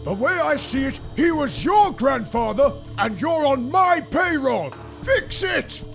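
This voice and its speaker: A man's voice: very high-pitched, sing-song, slow, vocal fry, exaggerated voice. A man voicing a rich, scheming old man obsessed with outliving his brother, in a fan comic dub. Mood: furious. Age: older. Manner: pompous, theatrical, erupts into shouting rants. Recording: voice over loud background music. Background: music.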